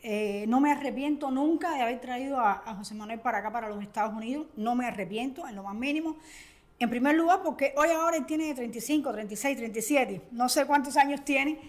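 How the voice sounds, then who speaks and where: An older woman from Cuba speaks with a Cuban Spanish accent in a high, clear-pitched voice. She talks earnestly and thoughtfully as an interview guest, pausing often with small hesitations as she gathers her words. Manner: earnest, reflective, with frequent hesitations. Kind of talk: conversational interview.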